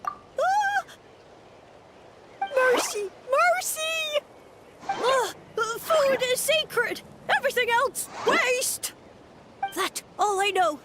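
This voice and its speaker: A squeaky little mushroom creature, extremely high and very slow. It pleads in simple, frantic exclamations, in video game style. The recording is very noisy. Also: female voice, lively intonation, heavily acted. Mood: frantic, pleading. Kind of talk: video game character acting. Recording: very noisy game stream capture.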